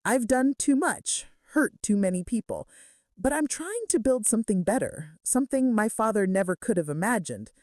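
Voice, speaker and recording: Woman voicing a brooding, intense mafia boss, lively pitch. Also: moderate pace, no room sound, studio quality, close to an everyday voice. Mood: haunted, remorseful. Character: brooding, remorseful young male mafia boss confessing his love. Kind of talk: romance audiobook dialogue.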